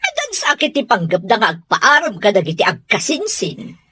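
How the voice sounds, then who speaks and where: A woman's voice: mid-range voice, huge pitch swings, moderate pace, sharp tone, slightly rough, heavily acted. A woman playing a haughty, scornful madam in an Ilocano radio drama, with an Ilocano accent. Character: haughty, scornful madam who despises house servants. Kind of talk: Filipino radio drama villain acting.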